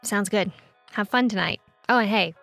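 A woman's voice: medium pitch, moderate pace, vocal fry, fairly bright tone, soft background music. An actress playing a weary, caring woman in grief, in a naturalistic audio drama. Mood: fond, teasing. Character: grieving, weary small-town woman, subdued and caring.

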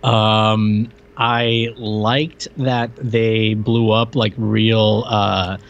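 A man's voice: on the low side, flat delivery, extremely slow, creaky, muffled recording, slight background noise. A man co-hosting a film podcast, chatting casually and teasingly, often stammering over repeated words. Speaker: podcast co-host reviewing a film. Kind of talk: casual film-review chat.

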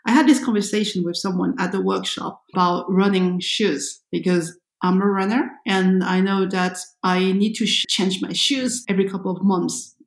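A woman's voice: mid-range voice, steady tone, moderate pace, gravelly, few pauses. Woman chatting in an echoey room. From France. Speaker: podcast co-host. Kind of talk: casual conversation.

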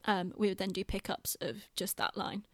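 British female, mid-pitched, informal podcast chat, lightly self-deprecating, dry sound with little room. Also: flat delivery, normal speed, dry recording. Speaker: podcast host and theatre director.

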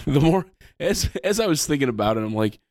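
A man's voice: fairly high pitch, big pitch swings, moderate pace, darkish tone, no echo, studio quality. An American man co-hosting a sports podcast in casual fan chat. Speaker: podcast co-host.

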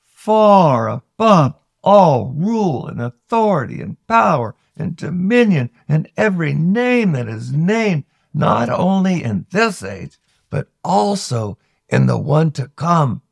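A man reading scripture aloud solemnly, with measured, deliberate phrasing and frequent pauses. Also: fairly high pitch, very animated, vocal fry, no room sound. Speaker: scripture reader.

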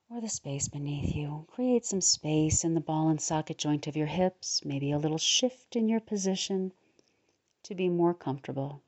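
A woman leading a guided relaxation meditation in a soothing, unhurried manner, pausing between short phrases. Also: fairly low pitch, dark tone, dull audio. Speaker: guided meditation leader, podcast host.

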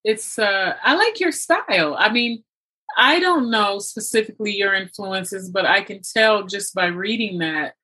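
A woman's voice: mid-range voice, lively intonation, unhurried, sharp tone, slightly rough. A woman interviewing in a casual, conversational way, encouraging and affirming the person she talks with.

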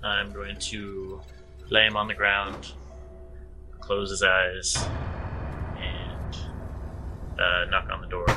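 A man's voice: fairly low pitch, monotone, slow, long pauses, music in the background. A man playing a tabletop game, improvising his character's words and actions in a matter-of-fact, understated way.

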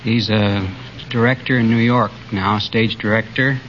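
A man's voice: on the low side, steady tone, unhurried, fairly bright tone. A man giving brief, hesitant answers as a contestant on an old-time radio quiz. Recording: muffled, noisy old-time radio recording.

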